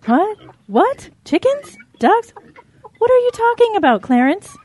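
Woman playing a caring, fretful cow mom, high-pitched, very animated pitch, audio drama. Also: measured pace, exaggerated voice. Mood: bewildered. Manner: exclaiming, motherly.

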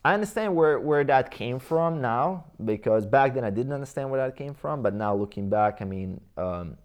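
A man's voice: mid-range voice, animated, normal speed, a little mellow. Croatian-accented man telling his life story. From Croatia/Bosnia region. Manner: rambling, many fillers and restarts, reflective. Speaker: interview guest. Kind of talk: casual life-story interview.

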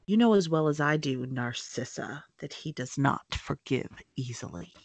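A woman reading aloud and voicing a cold, controlled older male wizard who speaks flatly and quietly. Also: sits low, no echo, pitched below the performer's own voice. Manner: flat, quiet, measured delivery.

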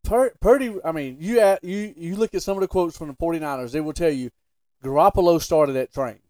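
A man's voice: on the high side, a little fast, warm tone, no room sound. A man co-hosting a sports podcast, debating casually in a blunt, opinionated and emphatic way.

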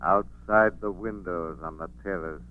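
An actor playing a menacing, unseen avenging crime fighter with slow, ominous, declamatory delivery in 1940s radio style. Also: male voice, deep voice, bright, forceful tone. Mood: accusing. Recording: muffled narrow-band 1940s radio recording.

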